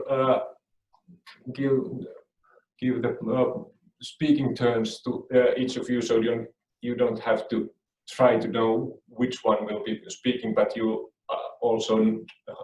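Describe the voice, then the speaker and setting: A man's voice: medium pitch, a little mellow. A man moderating an online meeting, speaking hesitantly with many fillers. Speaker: conference moderator. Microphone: video call. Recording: echoey room, distant from the mic.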